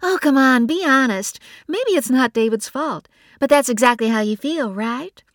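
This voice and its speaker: A woman's voice: fairly high pitch, brisk, no room sound, clean studio sound, voice pushed up, close to an everyday voice. A woman voicing a breezy, blunt young woman who teases her sister. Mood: teasing. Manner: blunt, lively and teasing.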